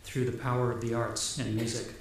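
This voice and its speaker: A man's voice: mid-range voice, level tone, fairly quick. Male, formal ceremonial reader of an award citation, heavy room echo, faint background noise. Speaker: provost reading an award citation. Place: large ceremony hall. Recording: very echoey hall, faint noise.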